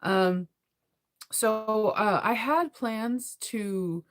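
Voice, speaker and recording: A middle-aged American woman with a congested, cold-affected voice, chatting casually and ramblingly as a sewing vlogger on a livestream. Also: extremely slow. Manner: rambling, giggly, conversational; sleepy, low energy.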